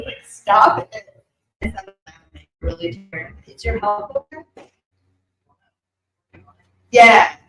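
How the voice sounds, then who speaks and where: A woman giving intuitive readings to a live audience, chatty and rambling, with many fillers and asides. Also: medium pitch. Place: event room with an audience. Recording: echoey room.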